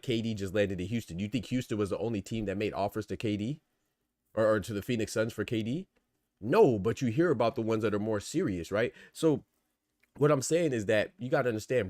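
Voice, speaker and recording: A man from the United States with a fairly low voice hosts a livestream talk. He speaks colloquially and casually, laying out his opinions to the chat. The sound is dry, with very little room on the voice. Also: a little mellow, no room sound. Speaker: boxing podcast host.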